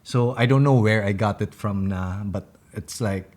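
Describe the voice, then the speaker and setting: A young man with a Filipino accent, talking in a deep, big voice that sits on the low side. He speaks directly and with authority in a casual podcast chat, switching between English and Tagalog. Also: normal speed, a little mellow. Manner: commanding, direct, gestures a lot. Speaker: podcast co-host.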